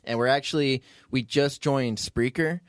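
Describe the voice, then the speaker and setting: A man's voice: medium pitch, moderate pace, dry recording, studio quality. A man hosting a podcast, chatting casually and explaining things in a rambling way as he plugs shows. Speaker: podcast host and producer.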